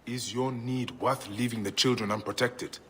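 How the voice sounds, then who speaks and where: An actor playing a grave, vengeful, grieving Egyptian warrior in a dramatic video game. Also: male voice, medium pitch, steady tone, moderate pace, pushed and bright, breathy edge. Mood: stern, urgent.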